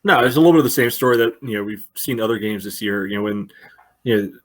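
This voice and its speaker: A man co-hosting a podcast, analyzing soccer casually and haltingly, with frequent verbal padding. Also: fairly low pitch. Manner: halting, peppered with "you know". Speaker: podcast co-host.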